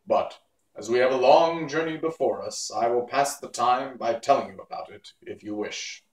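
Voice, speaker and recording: A man voicing a genial monkey king, measured, in a storybook. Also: mid-range voice, roomy sound, natural voice, low-quality recording. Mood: storytelling.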